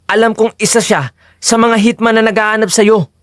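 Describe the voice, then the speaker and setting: A man's voice: sits high, fairly even intonation, a little fast, fairly bright tone, dry recording, studio quality. A man playing a loyal, anxious male friend in an audio drama, speaking in an agitated, earnest way. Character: Carlito, loyal anxious young male friend working at church.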